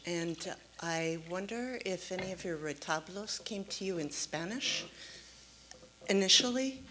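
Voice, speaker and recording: A woman's voice: lowish voice, a bit bright, slight background noise. A woman in the audience politely asking a tentative question, heard from far off the mic. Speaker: audience member.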